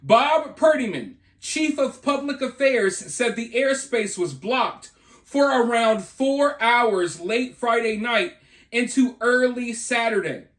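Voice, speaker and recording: A man's voice: very high-pitched, expressive, takes it slowly, fairly bright tone, roomy sound. A man hosting a news commentary video, addressing viewers in an emphatic, dramatic way.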